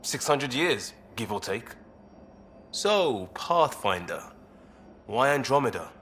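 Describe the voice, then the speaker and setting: A man's voice: medium pitch, very animated, slow pace, fairly bright tone, a little hiss or hum. A man playing an easygoing, blunt former cop turned space rescuer in a video game, talking casually and candidly. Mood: blunt, teasing. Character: easygoing, blunt ex-cop human crisis responder, male.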